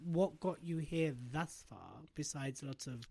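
A man with a high voice co-hosts in a mocking, teasing way. He laughs often and trades jokes with his partner. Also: animated, measured pace, gravelly, darkish tone, slightly rough. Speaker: co-host. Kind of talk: casual banter.

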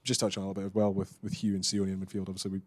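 A man hosting a casual sports podcast, brisk and upbeat. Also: low voice, monotone, no room sound. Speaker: podcast host.

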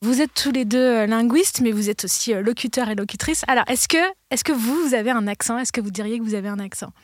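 A woman hosting a podcast, giving clear, measured scripted narration and interviewing guests. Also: fairly high pitch, steady tone, dry recording, clean studio sound. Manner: clear, measured, articulate delivery. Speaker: podcast host.

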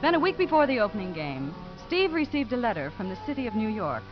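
A woman's voice: fairly high pitch, big pitch swings, quick pace, over music. A woman playing a composed, pointed child-welfare official with a probing, reproving manner in 1950s radio drama. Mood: composed narrating. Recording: dull old radio recording.

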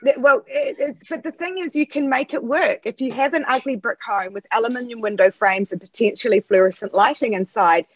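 A woman's voice: fairly high pitch, brisk, hardly any pauses, no room sound. Kiwi woman rambling about art, lots of ums, kinda muffled lo-fi audio. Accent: New Zealand. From New Zealand. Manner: chatty, animated, many fillers and restarts. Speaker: podcast guest, artist. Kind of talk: casual interview chat.

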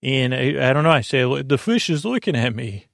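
Gravelly, creaky American man rambling at bedtime. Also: medium pitch, big pitch swings, a bit bright, no echo, clean studio sound. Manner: meandering, halting, goes off on tangents. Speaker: podcast host. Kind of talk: rambling bedtime monologue to help listeners fall asleep.

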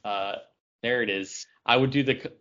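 A man's voice: medium pitch, moderate pace, pushed and bright. A man co-hosting a casual podcast about pop culture, talking in a rambling way and often interrupting himself. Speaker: podcast co-host. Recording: dull lo-fi audio.

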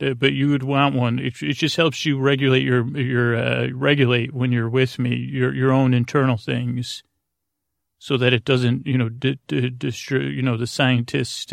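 Guy w a creaky gravelly voice rambling thru a bedtime monologue, halting and scatterbrained, super dry close sound. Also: medium pitch, dry recording. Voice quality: gravelly, rusty vocal tone. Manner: meandering, halting, self-interrupting, absent-minded. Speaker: podcast host.

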